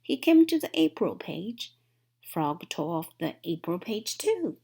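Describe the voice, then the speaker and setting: A woman's voice: fairly low pitch, very animated, measured pace, vocal fry, warm tone, breathy edge. A woman narrating a children's story from a book, as a read-aloud. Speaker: storybook reader.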